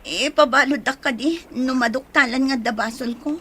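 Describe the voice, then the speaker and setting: A woman's voice: sits high, normal speed, fairly bright tone, a little hiss or hum. A woman with an Ilocano accent playing a nervous, evasive young woman under suspicion in a radio drama, hesitant and defensive.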